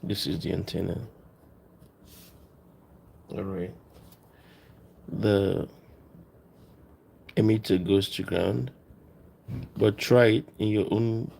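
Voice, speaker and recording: Gravelly, even-toned man giving a tutorial. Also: on the low side, slow, pauses often. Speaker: presenter of a DIY electronics tutorial. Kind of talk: casual hands-on tutorial talk, explaining as he works.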